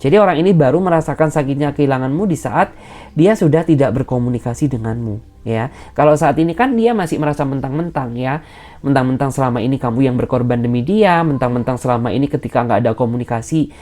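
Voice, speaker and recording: A woman giving a general tarot reading straight to the viewer, advising and reassuring, and tagging nearly every phrase with a soft "ya". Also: a bass voice, fast talker, faint music behind. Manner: advising and reassuring in a direct, personal way. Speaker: tarot reader.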